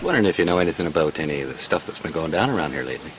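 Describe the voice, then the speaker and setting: An actor playing a menacing police officer who questions someone in a probing, pointed way. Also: male voice, very deep voice, animated, racing, a bit bright, slight background noise.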